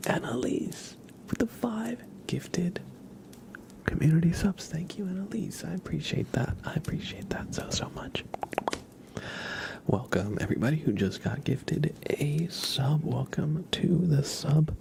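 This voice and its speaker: A man hosting an ASMR livestream, chatting gently and casually in a soft, breathy voice with frequent pauses. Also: on the high side, animated. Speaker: livestream host.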